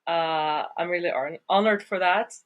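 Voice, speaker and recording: A woman's voice: on the low side, normal speed, phone-quality sound. A woman from Sweden, speaking English as a guest on a podcast interview, thoughtful and hesitant with frequent fillers. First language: Swedish. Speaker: guest, water surveyor.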